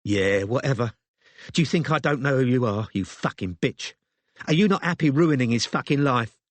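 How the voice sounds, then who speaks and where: A man voicing a furious, foul-mouthed young mother who snarls, swears and shouts during a fight. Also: medium pitch, expressive, normal speed, no room sound, natural voice. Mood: snarling.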